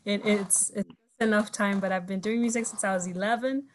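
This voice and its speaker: A woman's voice: mid-range voice, steady tone, brisk, a little hiss or hum. A woman in her twenties from Ivory Coast and Zimbabwe, whose first language is French, telling her story as a guest in a casual interview with frequent laughs and fillers. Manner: chatty, laughs often, trails into fillers. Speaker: guest musician.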